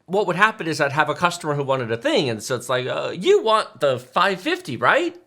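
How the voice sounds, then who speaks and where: A man in his forties co-hosting a tech live stream, telling stories casually in an animated, emphatic, enthusiastic way. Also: a little high, brisk. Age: 40s. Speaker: tech show co-host.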